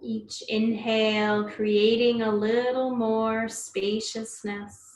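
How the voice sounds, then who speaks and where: Slow, soothing woman guiding meditation. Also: a little high, level tone. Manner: soothing, gentle, unhurried with long pauses. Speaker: meditation teacher. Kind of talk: guided meditation. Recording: roomy echo, faint background noise.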